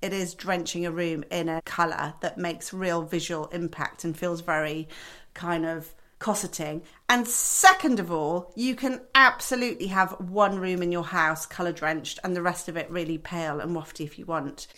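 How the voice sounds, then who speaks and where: A woman co-hosting a home design podcast, chatting casually and lively. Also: lowish voice, moderate pace. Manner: lively, laughs easily, chips in. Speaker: podcast co-host. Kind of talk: casual chat.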